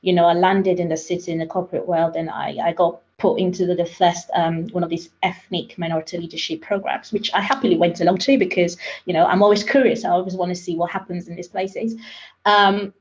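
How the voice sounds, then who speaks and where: Animated woman raised in Nigeria, lo-fi call. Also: fairly low pitch, brisk. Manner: animated, emphatic, with rising questions. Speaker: panelist. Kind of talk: webinar panel discussion. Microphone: video call. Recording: lo-fi video call audio.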